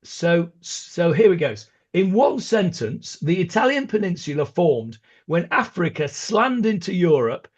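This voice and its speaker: A man teaching a relaxed study class over a video call. He talks slowly in a fairly high voice. He hesitates and restarts a lot, and the call audio is dull and lo-fi. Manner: hesitant, many ums and restarts, chatty asides. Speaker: rabbi teaching a Talmud class. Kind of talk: informal online study class.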